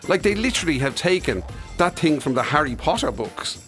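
Lively Irish man, slightly high voice, teasing. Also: a little fast, faint music behind. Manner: incredulous, teasing questions. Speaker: podcast co-host. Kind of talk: casual comic banter.

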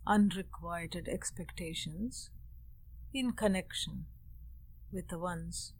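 A woman leading a guided meditation, speaking in short, measured phrases with long pauses between them. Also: on the low side, slight background noise, rough recording. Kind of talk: guided meditation with opening Sanskrit chant.

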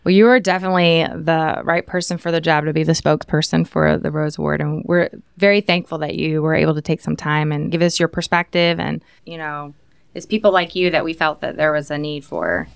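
A woman's voice: low voice, steady tone, a little fast, vocal fry, clean tone, dry recording, studio quality. A woman co-hosting a podcast, thanking her guests in a friendly way. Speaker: podcast co-host. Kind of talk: casual interview chat.

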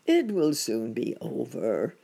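A storyteller voicing a kindly male bear dentist from a storybook, calm and reassuring in manner. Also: mid-range voice, slow pace, gravelly, husky, exaggerated voice.

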